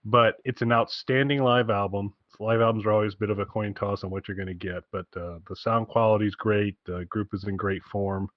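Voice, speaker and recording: A man's voice: fairly low pitch, fairly even intonation, a little fast, hardly any pauses, dry recording, lo-fi sound. A man co-hosting a podcast, rambling casually and enthusiastically about music recommendations with wry asides. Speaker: podcast co-host giving album recommendations.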